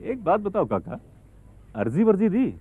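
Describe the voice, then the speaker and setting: An earnest young man doing village outreach in a training film. His husky, middle-pitched voice moves up and down a lot as he gently persuades, over a muffled, lo-fi soundtrack. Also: sing-song, normal speed, no room sound, full character voice. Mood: friendly, persuasive. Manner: explains through questions and everyday examples. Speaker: actor in an educational training film. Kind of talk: acted film dialogue.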